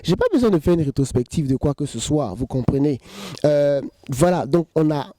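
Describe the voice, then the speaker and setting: A man in his thirties, a choreographer, answering interview questions in a relaxed, joking way and saying "voilà" often. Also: a little high, moderate pace, no echo. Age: 30s.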